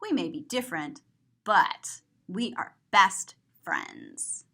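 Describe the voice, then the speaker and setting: A woman's voice: on the low side, huge pitch swings, slow, vocal fry, pushed and bright. A woman reading a children's picture book aloud as a story-time host, expressively and with simple explanations for young listeners.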